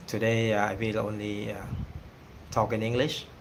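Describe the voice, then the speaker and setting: A man from Cambodia with a Cambodian accent, whose first language is Khmer, talking casually on a livestream and pausing often with fillers. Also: on the low side, little pitch movement, creaky, background noise. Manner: hesitant, many pauses and fillers. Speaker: singing channel host.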